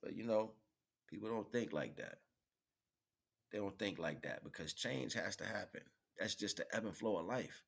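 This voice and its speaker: A man giving a rambling, informal spiritual monologue, preaching in an animated way with repeated phrases and slangy check-ins with his listeners. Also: lowish voice, normal speed, creaky, husky. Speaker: spirituality vlogger, livestream host.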